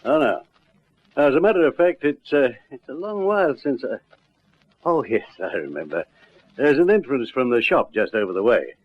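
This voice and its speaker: An actor playing a helpful, talkative, slightly flustered Australian caretaker in a classic radio detective drama. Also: male voice, medium pitch, big pitch swings, moderate pace. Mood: obliging, chatty. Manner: chatty, hesitant, obliging. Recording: muffled, faintly noisy vintage radio recording.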